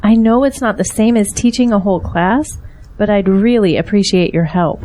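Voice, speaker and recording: A woman's voice: medium pitch, moderate pace, dark tone, slight background noise, natural voice. An actress playing a grateful, earnest middle-aged mother in an audio drama. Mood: earnest, hopeful.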